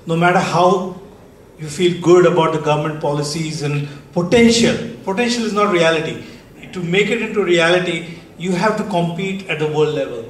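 A man with an Indian English accent on a panel, speaking in a measured, emphatic way and slipping in Hindi phrases. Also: a little high. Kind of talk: panel discussion. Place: large conference hall stage, seated panel. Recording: echoey hall, distant mic, faint noise.

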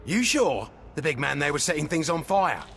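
An actor playing a brisk, slangy British army corporal of the Second World War in a video game cutscene, combative in manner. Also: male voice, on the high side, very animated, fairly bright tone, a little hiss or hum, heavily acted. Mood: wary. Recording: clear music over the voice.

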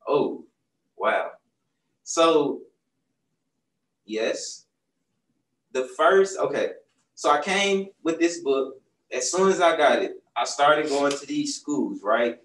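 A young man, a children's book author, talking casually in a bookstore, often asking "right?" as he tells a story. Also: mid-range voice. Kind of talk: casual talk to young audience. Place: bookstore, seated on a stool. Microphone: far camera. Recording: roomy sound from a distant mic.

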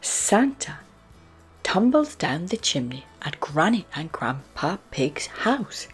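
A woman storyteller narrating a children's book aloud in an expressive, deliberate manner. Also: on the low side, faint music behind. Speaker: storyteller, channel host.

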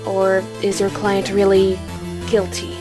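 A woman's voice: medium pitch, monotone, slow, music in the background, close to an everyday voice. A woman playing a confident mentor lawyer coaching a rookie in an anime-style game fan dub. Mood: encouraging, firm.

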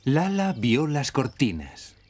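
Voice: medium pitch, sing-song, takes it slowly, darkish tone, slight background noise. A narrator of a preschool children's show, telling what happens in simple, repetitive scripted sentences.

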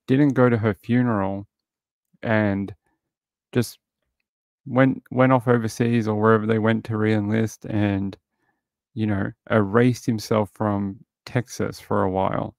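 Middle-aged male streamer, dry close sound, gravelly, chatty recap host. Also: on the low side, little pitch movement, measured pace, a little mellow, no echo. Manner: chatty, greets viewers, recaps and reads articles aloud. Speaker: livestream host reviewing a true crime show. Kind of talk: casual livestream commentary.

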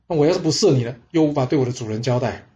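A man's voice: medium pitch, racing, dark tone, lo-fi sound, lightly acted. A man storyteller voicing a loyal, respectful archer disciple from ancient China, speaking earnestly and formally. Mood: torn, deferential.

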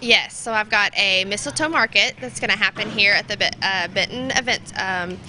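A woman's voice: mid-range voice, normal speed, sharp tone, slight background noise, rough recording. A woman, a city marketing director, talking upbeat with many fillers in an outdoor interview into a handheld mic. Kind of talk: live TV interview. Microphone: reporter's handheld mic.

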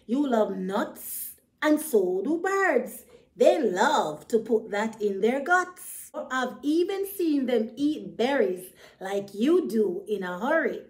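Woman as storybook bird friend, high-pitched, slow, emphatic, frank and caring advice-giver. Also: expressive, darkish tone. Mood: encouraging. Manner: admonishing, emphatic, encouraging. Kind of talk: children's storybook character voice.

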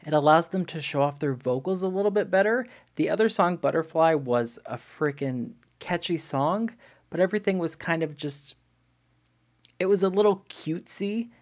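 A man's voice: fairly high pitch, a little mellow. A young man with a stuffy nose, hosting a music video reaction and chatting enthusiastically into a studio mic at home. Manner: enthusiastic, chatty, frequent "wow, okay". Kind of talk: casual reaction commentary. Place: home studio room with brick-pattern backdrop. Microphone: studio mic on stand with headphones.

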